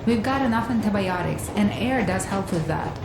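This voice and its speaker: A practical female medic in a video game drama. She reasons calmly and precisely at a middle pitch, in a roomy space with noticeable ambient noise. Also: normal speed, gravelly. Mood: calm, reasoning. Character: level-headed, practical female medic, calm and analytical.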